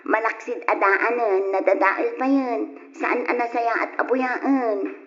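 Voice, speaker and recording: A lively, teasing teenage daughter in an old radio play. She sounds eager and full of questions, and the sound is dull and lo-fi. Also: fairly high pitch, slow, soft background music. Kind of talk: 1940s-style radio drama acting.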